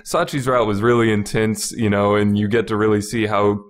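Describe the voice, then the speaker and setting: A man's voice: lowish voice, little pitch movement, normal speed. A man giving a casual, unscripted podcast review, rambling informally with lots of "you know" and repeating points for emphasis.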